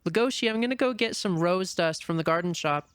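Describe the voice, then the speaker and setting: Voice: medium pitch, expressive, brisk, fairly bright tone, clean tone, no room sound, clean studio sound, close to an everyday voice. A man voicing a feisty small female rabbit, blunt and stubborn, in a dramatic reading of a screenplay. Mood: matter-of-fact.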